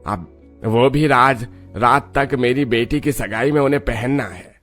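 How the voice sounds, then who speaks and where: A man's voice: medium pitch, measured pace, fairly bright tone, lightly acted. Man playing a kindly village headman, music behind. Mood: kindly, coaxing. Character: kindly, obliging middle-aged village headman.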